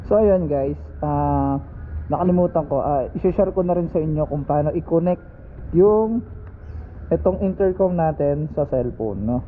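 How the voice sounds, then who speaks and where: A man's voice: on the high side, expressive, measured pace, phone-quality sound. A Filipino man chatting casually as a motovlogger while riding, rambling and searching for words. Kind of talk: casual vlog chat while riding a motorcycle. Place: outdoors on a motorcycle on the road. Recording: loud road and engine noise. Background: vehicle and street noise.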